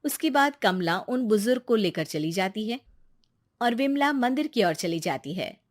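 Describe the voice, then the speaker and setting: A woman's voice: medium pitch, expressive, normal speed, no room sound, clean studio sound. A woman narrating a scripted story as its storyteller.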